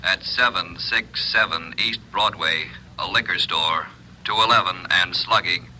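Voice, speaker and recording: A man playing an impassive police dispatcher, making a measured, flat announcement in a 1940s radio drama. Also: low-pitched. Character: impassive male police dispatcher reading a call. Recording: distant, hissy old radio recording.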